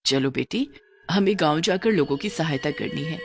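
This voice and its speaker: A gentle, motherly village woman from a cartoon story, played by a woman narrator. She speaks lowly and instructively, as if to her child, over light music. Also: animated, normal speed. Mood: kindly.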